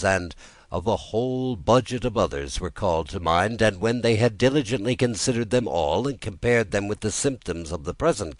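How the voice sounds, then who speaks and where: A man with a notably deep voice narrates a bedtime tale. His delivery is gentle, measured and soothing, in a literary storytelling manner. The sound is dry, with no room around the voice. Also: no echo. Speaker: audiobook narrator.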